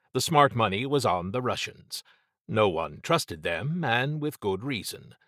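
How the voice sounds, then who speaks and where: A man's voice: medium pitch, a bit bright, no room sound, clean studio sound. A man narrating scripted fiction as a dramatic audiobook reader.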